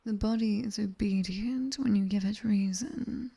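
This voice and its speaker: A British woman playing a sharp-tongued nightmare demoness tutor in an ASMR roleplay, whispering breathily and close to a binaural mic with wry, commanding instruction. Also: mid-range voice, measured pace, vocal fry, slightly rough. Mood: soft, instructing. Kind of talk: ASMR roleplay, guided sleep meditation. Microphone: close binaural microphone.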